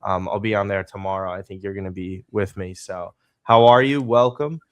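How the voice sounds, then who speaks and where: A man hosting a casual podcast interview, energetic and rambling as he asks his questions. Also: low voice, moderate pace, clear, resonant tone, no room sound. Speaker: podcast host.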